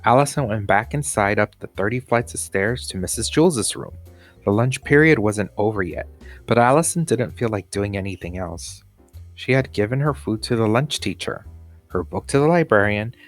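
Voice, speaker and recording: A man's voice: on the low side, expressive, normal speed. A man reading a children's story aloud as a teacher would, with music behind him.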